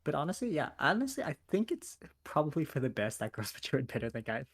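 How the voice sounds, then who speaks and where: A man's voice: fairly high pitch, sing-song, fast, breathy edge. A young man co-hosting a podcast, making dry, wry remarks in casual chat. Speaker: podcast co-host.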